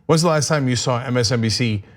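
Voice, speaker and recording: A man's voice: medium pitch, fast talker. A man hosting a live news show, reading viewer comments aloud in a casual, wry manner.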